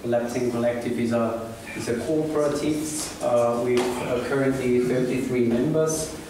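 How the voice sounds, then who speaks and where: A man's voice: mid-range voice, fairly even intonation, normal speed. Man presenting in an echoey room. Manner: hesitant, many fillers and restarts. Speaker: meetup organizer presenting. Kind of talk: informal presentation talk. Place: small meeting room with seated audience, indoors. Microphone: room camera from the back of the audience. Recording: echoey room, distant from camera, noisy.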